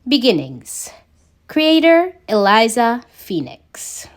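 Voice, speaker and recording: A woman's voice: on the high side, very animated, takes it slowly. A woman reading a scripted piece of fan fiction aloud as a storyteller. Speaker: podfic narrator. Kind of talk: scripted fan fiction narration, read slowly.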